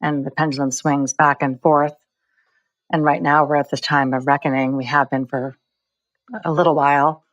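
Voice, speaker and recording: A woman from the United States answers interview questions in a measured, articulate way. Her voice sits very low and stays level, and the recording is dry and close. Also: fairly bright tone, no room sound, low-quality recording. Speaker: documentary filmmaker guest.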